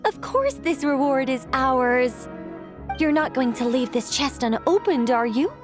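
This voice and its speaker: Eloquent, serene angel, higher-pitched. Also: female voice, expressive, over music. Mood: playful. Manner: eloquent, measured, gently teasing. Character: serene, playful female angel storyteller, ancient and wry. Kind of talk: video game character acting, storybook narration.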